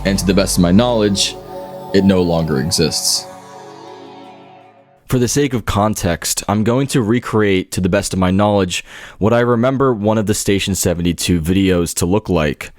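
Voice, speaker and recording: A man's voice: low voice, moderate pace, darkish tone, no echo. A man narrating a scripted documentary-style video essay. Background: background music.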